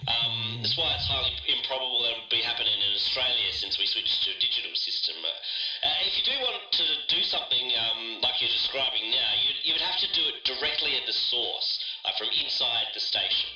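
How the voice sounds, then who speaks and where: Actor as bright, echoey, hesitant TV technician. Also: male voice, medium pitch, lively intonation, soft background music. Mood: thoughtful, explaining. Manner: halting, rambling, thinking aloud. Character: hesitant, chatty male television technician recalling broadcast hijackings. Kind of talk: interview answers, explaining. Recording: echoey, distant, radio-like sound.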